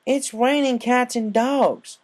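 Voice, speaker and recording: A man's voice: very high voice, fairly even intonation, slow, voice pushed up. A man voicing a plain-spoken female farm pony in a storybook reading, concerned and chiding in a folksy way. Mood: scolding.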